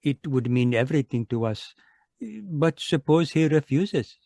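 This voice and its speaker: A man playing a solemn, crafty mystic in a radio mystery, speaking in a formal, measured way. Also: fairly high pitch, expressive, gravelly, warm tone, no room sound, clean studio sound, exaggerated voice. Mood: solemn, regretful. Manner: formal, measured, avoids contractions. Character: solemn, crafty yogi mystic seeking money. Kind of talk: old-time radio mystery acting. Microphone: close home microphone on a video call.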